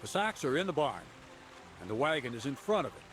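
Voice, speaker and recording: A gruff, mocking miller boss. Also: male voice, mid-range voice, big pitch swings, fairly quick, a bit bright, faint music behind. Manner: dry, mocking, bossy. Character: gruff middle-aged male miller boss.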